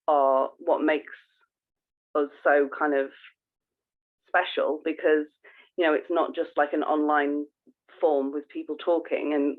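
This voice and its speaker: A woman co-hosting a podcast, chatting informally and explaining things with halting emphasis. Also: low-pitched. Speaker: podcast co-host. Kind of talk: casual podcast chat. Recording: thin phone-like call audio.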